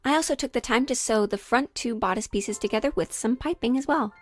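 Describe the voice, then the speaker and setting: A woman's voice: fairly high pitch, fairly quick. Woman narrating a sewing project over music. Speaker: sewing vlogger.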